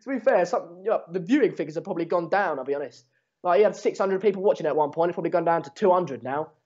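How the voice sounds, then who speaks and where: A man's voice: high voice, expressive, fast talker, darkish tone. A seventeen-year-old English man, a native Dutch speaker, chatting casually and sarcastically on a football watchalong stream in slangy, matey, sweary language. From England. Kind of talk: casual live match commentary and chat with viewers. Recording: dull lo-fi stream mic.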